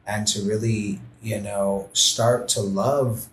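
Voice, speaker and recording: A man speaking as a podcast guest, earnest and gentle, talking in a reflective way with many fillers like "you know" and "like". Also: lowish voice, fairly even intonation, takes it slowly, roomy sound, slight background noise, low-quality recording. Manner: gentle, heartfelt. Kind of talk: reflective interview conversation.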